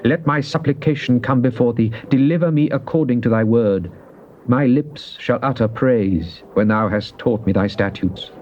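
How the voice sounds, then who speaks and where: A man's voice: mid-range voice, darkish tone, natural voice. Solemn man acting as devout psalmist. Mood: earnest, pleading. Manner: solemn, measured, theatrical. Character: devout psalmist pleading and praising God. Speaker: scripture reader. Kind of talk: dramatized King James Bible reading. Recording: dull, noisy old cassette tape.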